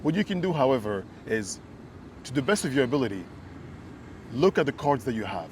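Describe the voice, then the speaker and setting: A man's voice: medium pitch, very animated, fairly quick, a little mellow, slight background noise. A man from Kinshasa co-hosting a casual conversation, earnest and emphatic, often repeating words as he builds a point. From Kinshasa, Congo. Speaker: co-host.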